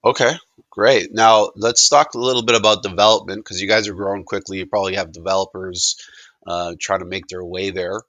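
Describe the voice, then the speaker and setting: Bright-voiced man, casual and halting. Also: deep voice, steady tone, no echo. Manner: halting, informal, thinking aloud. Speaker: caller asking questions in a product demonstration. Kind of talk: casual conversational questioning.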